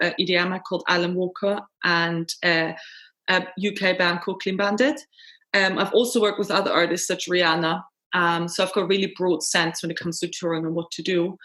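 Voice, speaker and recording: A woman in her thirties from Austria talks over a video call. Her speech is chatty and enthusiastic, flowing from one point to the next. Her pitch sits in a middle range. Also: a bit bright. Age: 30s. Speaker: festival organiser and tour manager. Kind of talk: webinar Q&A answers.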